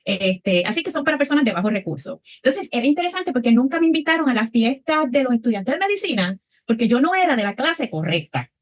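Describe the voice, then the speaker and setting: Woman, Puerto Rican accent, panelist, reflective, fairly high pitch. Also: sing-song, fast talker, hardly any pauses. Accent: Puerto Rican Spanish. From Puerto Rico. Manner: reflective, frequent tag questions. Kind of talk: panel discussion answer.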